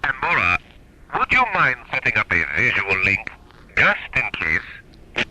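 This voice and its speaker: Intense, bright-voiced moon-base scientist. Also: male voice, lowish voice, very animated, measured pace, slight background noise, full character voice, rough recording. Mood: serious. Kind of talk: radio drama acting.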